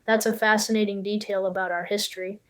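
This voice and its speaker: A woman co-hosts a scripted history podcast in a mid-range voice. Her delivery stays flat and measured as she asks prompting questions and sums up what her partner says. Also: medium pitch. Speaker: co-host.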